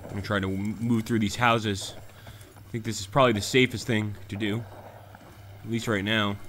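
A man's voice: on the low side, flat delivery, moderate pace, a bit bright, slight background noise. A man hosting a gaming channel, talking casually over gameplay and answering live chat comments.

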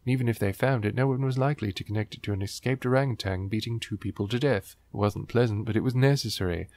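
A man's voice: on the low side, quick pace, a little mellow, no room sound. A man hosting a book riffing show, reading aloud and commenting in a sarcastic, wry, exasperated way.

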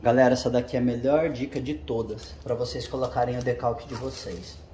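A man's voice: mid-range voice, steady tone, brisk, mellow. Host, male, casual hands-on tutorial, talks through each step while working, recorded in an echoey garage with faint background noise. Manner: explains step by step while working with his hands, often checking with "tá?". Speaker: channel host. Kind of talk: casual how-to demonstration. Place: home garage with the door open.

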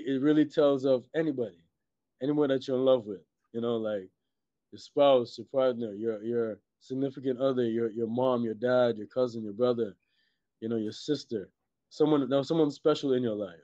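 Male interview guest, middle-aged, from Trinidad and Tobago; relaxed tone, halting casual delivery, dull video-call sound. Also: medium pitch, dry recording. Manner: halting, repeats words, peppers talk with "you know" and "like". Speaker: guest, filmmaker and musician.

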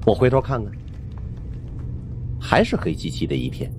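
A man's voice: mid-range voice, huge pitch swings, moderate pace, creaky, slight background noise. A man narrating a suspense audiobook in a colloquial, theatrical storytelling style. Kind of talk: dramatic scripted narration of a supernatural suspense novel.

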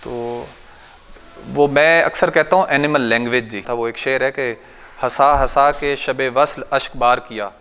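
A man's voice: medium pitch, a bit bright, smooth. A man in his thirties from Pakistan, with a Punjabi accent, gives a casual, story-filled workshop talk on goat farming to a seated audience. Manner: conversational, anecdotal, recites couplets and quotes elders. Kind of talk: informal training talk. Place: large bright training hall with a seated audience. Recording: reverberant hall.

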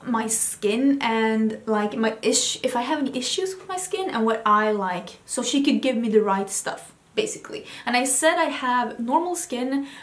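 Chatty Swedish-accented woman, high voice. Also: lively intonation, normal speed, echoey room. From Sweden. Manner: chatty and enthusiastic, often restarts words. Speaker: beauty vlogger. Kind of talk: casual haul video talk. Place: home room with vanity lights.

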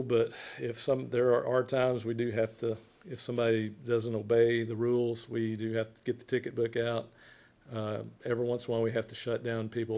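Gravelly older man addressing a meeting. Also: mid-range voice. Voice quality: loud voice. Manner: folksy, plain-spoken. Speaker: city health inspector. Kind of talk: informal presentation to a committee. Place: wood-panelled council chamber.

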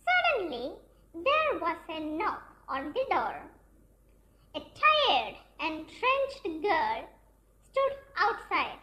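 A very high, child-like voice tells children's fairy tales with expressive, sing-song phrasing. The delivery swings dramatically up and down, bright and clearly projected, at an unhurried pace. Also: slight background noise. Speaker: children's storyteller. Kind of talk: scripted fairy tale and fable narration for children.

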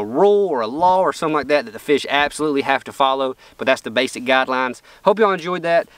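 A man, a pro angler explaining fishing in a relaxed, conversational way, sitting on a boat outdoors. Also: a little high, no echo, recorded from a distance. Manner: relaxed, conversational, drawling fillers like "kinda" and "you know". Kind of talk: casual instructional vlog.

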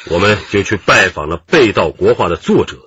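An actor playing a genial, modest middle-aged detective chief who reminisces in a chatty, deliberate way in a radio drama. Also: male voice, low voice, lively intonation, a bit bright, breathy edge, no room sound, exaggerated voice. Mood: animated recounting. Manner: chatty, deliberate, with drawn-out interjections. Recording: muffled, dull radio drama recording.

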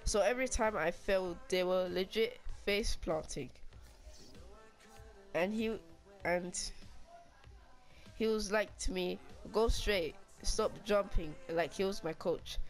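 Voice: mid-range voice, slow pace, long pauses. Young gamer chatting casually over music. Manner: informal, talking to viewers. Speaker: video creator and gamer. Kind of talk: casual storytelling and gameplay commentary. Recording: game audio and music behind. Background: music and football video game audio.

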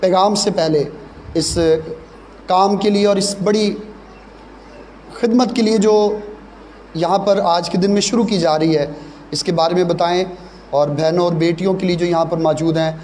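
A man with a Pakistani accent, formally hosting a church programme in measured, courteous phrases. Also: high-pitched, fairly even intonation, darkish tone. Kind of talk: formal announcing at a church service. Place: church gathering, live audience. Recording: noisy live event recording.

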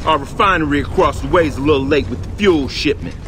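An actor playing a cocky, menacing gang boss in a video game, smug and taunting in manner. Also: male voice, a little high, fast, background noise, lightly acted. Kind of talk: video game cutscene acting.

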